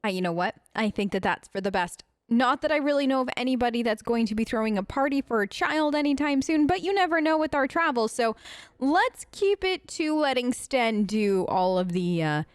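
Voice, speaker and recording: Casting: woman let's player, higher-pitched, improvising in character, chatty, halting, overly polite, dry studio-close sound. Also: expressive, no room sound. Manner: chatty, hesitant, awkwardly polite. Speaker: let's play streamer. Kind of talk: improvised in-character game role play.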